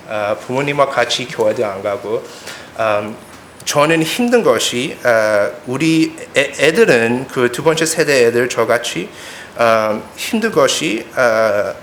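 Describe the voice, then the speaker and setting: Halting American-accented young man in echoey room. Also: mid-range voice, a bit bright. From United States, Korean immigrant family. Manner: halting, searching for words, simple phrasing. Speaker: preacher. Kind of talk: sermon with personal anecdotes. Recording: echoey room, clear background noise.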